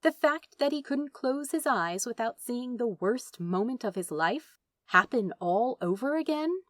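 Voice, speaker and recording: A woman reading fiction aloud as an expressive audiobook narrator. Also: a little high, unhurried, no echo, clean studio sound.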